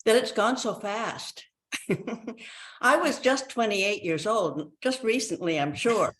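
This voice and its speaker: A woman in her eighties talks as a guest in an interview. She speaks conversationally and reflectively, at a medium pitch for a woman, recounting her own experience. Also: slow, a bit bright, slight background noise. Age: 80s.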